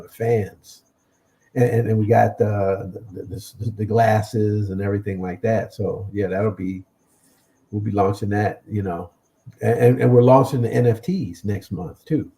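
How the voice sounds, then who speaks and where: Male interview guest, lower register, dark relaxed tone, conversational and repetitive phrasing. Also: measured pace, creaky. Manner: repeats words, loose and conversational. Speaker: guest, comic franchise creator. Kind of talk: casual interview chat.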